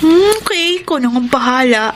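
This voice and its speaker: High-voiced caring friend. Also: female voice, expressive, a little fast, slight background noise. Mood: curious. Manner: conversational, concerned. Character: concerned young shop-worker woman, caring best friend. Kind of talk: Filipino radio drama acting.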